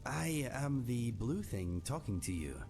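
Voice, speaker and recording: A man voicing a cheeky, quick-witted creature companion in a fantasy video game, polite but sharp-tongued. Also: mid-range voice, big pitch swings. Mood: cheerful, polite. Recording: clear music under the voice.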